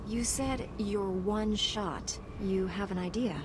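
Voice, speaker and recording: A woman playing a calm, dutiful Jedi Knight in a video game, measured and earnest in delivery. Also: mid-range voice, noisy background, close to an everyday voice. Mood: cautious, dutiful.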